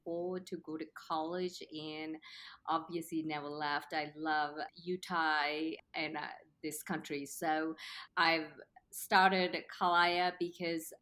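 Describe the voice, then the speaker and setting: A woman in her thirties from Sri Lanka, answering interview questions in a measured, thoughtful way with frequent "uh" pauses. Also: low voice, flat delivery, pushed and bright. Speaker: company founder, guest.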